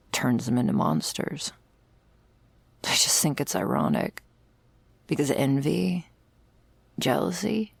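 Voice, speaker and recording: A woman playing a jaded, sardonic servant of vampires in an intimate ASMR roleplay, husky and deadpan, close to the microphone. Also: very deep voice, dry recording, heavily acted. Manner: dry, deadpan, sarcastic, foul-mouthed. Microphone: close, dry microphone.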